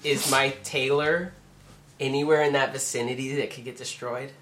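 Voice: medium pitch, steady tone, moderate pace, fairly bright tone. A player at a tabletop game who talks in a wry, questioning way. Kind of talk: casual tabletop game play.

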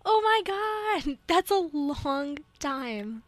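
A young woman co-hosting a college radio show, chatting casually and telling animated stories with laughs and acted-out quotes from a radio studio. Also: sits high, drawn-out words, dry recording. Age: young adult. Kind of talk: casual chat.

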